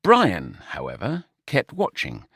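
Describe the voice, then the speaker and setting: A man reading a short story aloud in an expressive, wry manner. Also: lowish voice, takes it slowly, creaky, husky, no room sound, studio quality. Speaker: audiobook narrator. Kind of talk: scripted story narration.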